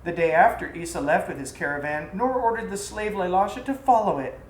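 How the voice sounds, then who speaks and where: A woman reading a scripted tale aloud in an expressive, theatrical storybook style. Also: fairly low pitch, brisk. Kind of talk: scripted narration of an exotic tale. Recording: roomy echo, faint background noise.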